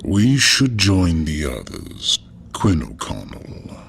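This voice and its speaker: A man voicing a solemn, imposing undead jailer in a slow, weighty, gravelly and husky delivery for an audio drama. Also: a bass voice, wildly swooping pitch, fairly bright tone, a little hiss or hum, heavily acted. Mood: solemn, assured.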